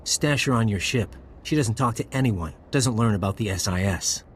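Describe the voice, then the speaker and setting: A man's voice: lowish voice, lively intonation, normal speed, a little hiss or hum, natural voice. Cocky, ruthless spymaster, played by a man. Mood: cold, commanding. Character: cocky, ruthless male spy handler, wryly casual. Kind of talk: video game voice acting.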